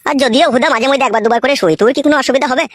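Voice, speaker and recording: A woman's voice: sits high, rapid-fire, dry recording. A woman playing a crude, swaggering husband in a comedy cartoon, with a coarse, bossy way of talking. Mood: gloating, lewd. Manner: coarse, brash, bossy, swearing. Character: crude, swaggering young husband with two wives.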